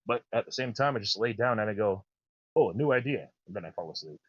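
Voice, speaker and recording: A man in his thirties with a naturally low voice, chatting casually and enthusiastically as a podcast host. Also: expressive, fast, breathy edge, no room sound, lo-fi sound. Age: 30s. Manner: rambling, enthusiastic. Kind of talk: casual chat.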